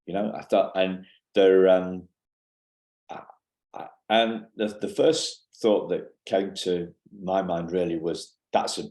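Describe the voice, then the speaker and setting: Man in his fifties, very deep and dark voice, halting, roomy echo. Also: fairly even intonation. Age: 50s. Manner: halting, many restarts and fillers. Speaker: podcast host. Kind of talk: podcast interview.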